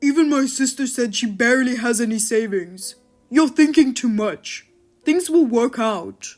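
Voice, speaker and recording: A woman's voice: a little high, measured pace, darkish tone, faint music behind. A woman voicing a touchy, out-of-work husband who answers curtly and defensively. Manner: defensive and curt.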